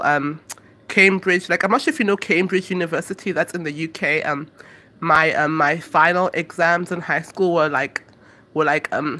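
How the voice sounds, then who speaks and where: A woman's voice: sits low, fairly bright tone. Rambling young woman from Africa. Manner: rambling and hesitant, with many fillers. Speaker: vlogger. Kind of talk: casual chat to camera.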